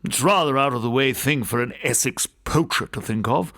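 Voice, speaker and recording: A man voicing a talkative, genteel English gentleman scholar who rambles conversationally through old local gossip in an audiobook. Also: medium pitch, normal speed, lightly acted. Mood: musing, pitying.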